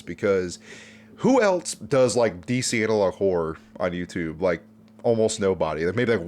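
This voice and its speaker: Guy with a lowish voice doing chatty youtube style commentary, informal and kinda rambly, unscripted. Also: darkish tone. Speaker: YouTube host reviewing fan videos. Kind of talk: casual off-the-cuff video commentary.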